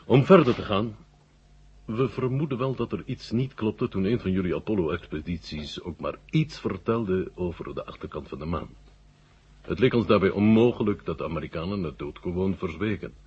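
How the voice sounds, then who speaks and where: A man playing a senior Soviet marshal in a 1970 Dutch radio drama, urbane and dryly ironic, speaking deliberately with a husky voice and thoughtful pauses. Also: low-pitched, darkish tone. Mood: measured, explaining. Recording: muffled old radio recording.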